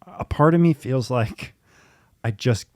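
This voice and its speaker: A man's voice: medium pitch, measured pace, a little mellow, no room sound, studio quality. A man in his thirties chatting casually and candidly as a podcast host, laughing easily as he talks. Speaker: podcast host and therapy client. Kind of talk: casual conversational chat.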